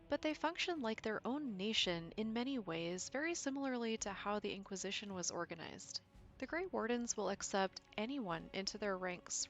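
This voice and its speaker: A woman's voice: medium pitch, a bit bright. A woman with a slightly scratchy, allergy-affected voice narrates an explanatory video essay in an articulate, conversational way. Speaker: video creator, game lore explainer. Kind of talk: scripted video essay narration with casual asides. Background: background music.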